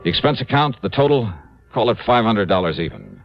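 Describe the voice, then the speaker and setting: A man's voice: on the low side, fairly quick, creaky. A man playing a wry, breezy American insurance investigator who narrates his case in a 1950s radio detective drama. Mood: brisk, wry. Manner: breezy, clipped, wry, animated. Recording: dull old radio recording with music.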